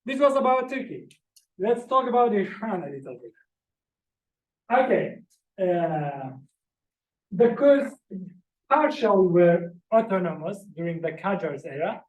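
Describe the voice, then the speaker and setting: A man's voice: sits high, sing-song, a little slow, pauses often, a little mellow. A man giving an academic lecture from slides, earnestly explaining each term one by one. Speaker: visiting lecturer. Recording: roomy echoey room.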